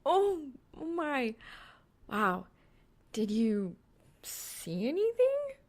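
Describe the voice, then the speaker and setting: A woman voicing a sweet, slightly shy young student with a light, gentle, expressive delivery in an audiobook. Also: high-pitched, very slow, pitched above the performer's own voice, exaggerated voice. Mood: pleased, amused. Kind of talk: audiobook character acting.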